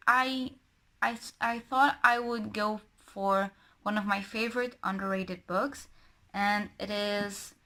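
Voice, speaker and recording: A woman's voice: mid-range voice, sharp tone. A young woman from Portugal, chatting casually and rambling with many self-corrections while doing her makeup. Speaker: book and beauty vlogger.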